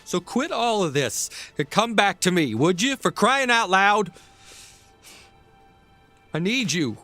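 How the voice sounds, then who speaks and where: An actor playing a weary, lonely travelling writer, confiding haltingly in a period radio drama monologue. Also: male voice, high voice, animated, sharp tone, faint music behind, exaggerated voice. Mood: pleading.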